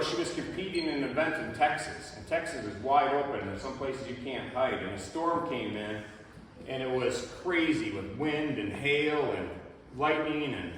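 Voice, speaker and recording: A man's voice: medium pitch, fairly bright tone, slight background noise. A man casually introducing fellow bikers at a school presentation, telling folksy stories. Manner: folksy, storytelling. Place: school hall. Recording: echoey hall from far off.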